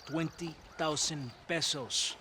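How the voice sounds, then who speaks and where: An actor playing a smug, menacing, oily Mexican army officer in a video game, with a Latin American Spanish accent. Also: male voice, a little high, takes it slowly, sharp tone, slight background noise. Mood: smooth, officious.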